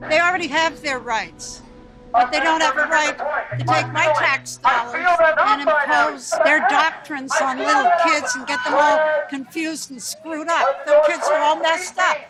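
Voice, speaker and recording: A woman makes an insistent, preachy protest speech in a high voice. Very loud music plays over her. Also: a little slow, over a noisy crowd, poor audio.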